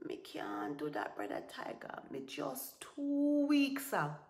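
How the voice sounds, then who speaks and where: A woman's voice: medium pitch, very animated, a little slow, a little mellow, pitched above the performer's own voice. A woman voicing a sly male folktale trickster who pretends to be deathly weak, moaning and whispering in Caribbean Creole. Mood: feigned weakness. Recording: roomy, echoing recording.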